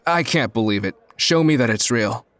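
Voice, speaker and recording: A man playing a greedy, excitable millionaire in an audio drama, exclaiming and drawing out his words. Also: medium pitch, moderate pace, no room sound, close to an everyday voice. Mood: stunned.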